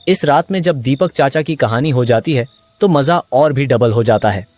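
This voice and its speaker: A man's voice: mid-range voice, expressive, normal speed, a little mellow, no room sound, lightly acted. A man voicing an earnest, conversational villager in a cartoon. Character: loyal male villager defending an uncle's tales. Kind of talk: Hindi cartoon dialogue.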